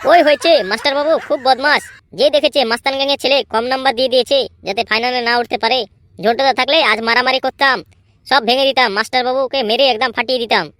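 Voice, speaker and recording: Woman voicing brash, high-pitched little boy. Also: steady tone, fast talker, a bit bright, dry recording. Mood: indignant. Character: brash, bragging young boy in comedy cartoon. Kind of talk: comedy cartoon voice acting.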